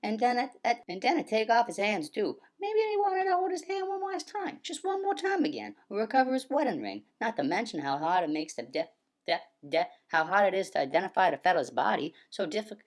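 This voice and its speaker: A man voicing a dim, excitable, sentimental police sidekick who rambles and repeats himself in a squeaky voice. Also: a little fast. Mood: maudlin. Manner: rambling, repetitive, childlike.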